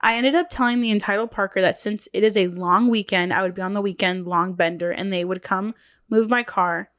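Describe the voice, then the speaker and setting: A woman's voice: mid-range voice, fairly even intonation, a little fast, fairly bright tone. A woman co-hosting a podcast, casually reading revenge stories aloud and laughing often, sounding nasal from a cold. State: nasal, getting sick. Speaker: podcast co-host.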